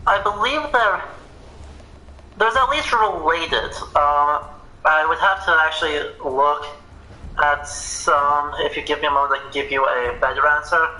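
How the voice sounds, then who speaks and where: A man's voice: a little high, unhurried, sharp tone. A young man giving casual, informative commentary as co-host of a gaming livestream. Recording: roomy echo.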